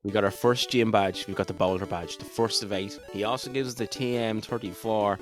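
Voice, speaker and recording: A man's voice: on the low side, steady tone, fairly quick, music in the background. A man co-hosting a podcast, narrating a game playthrough in an informal, sweary, agreeable way. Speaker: podcast co-host running the game.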